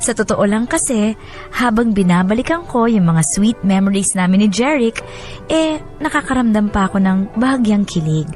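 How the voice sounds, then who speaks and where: A woman's voice: medium pitch, lively intonation, normal speed, darkish tone, lightly acted. Sentimental young wife narrating over music acted by a woman. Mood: wistful, tender. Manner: sentimental, confiding. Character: happily married young woman reading out her sentimental letter. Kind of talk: dramatized radio letter narration in first person.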